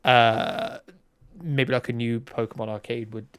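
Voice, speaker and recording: A man hosting a relaxed conversational podcast, thinking aloud as he puts questions and ideas to a guest. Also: medium pitch, unhurried, clean tone. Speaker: podcast host, interviewer. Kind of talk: casual conversational interviewing.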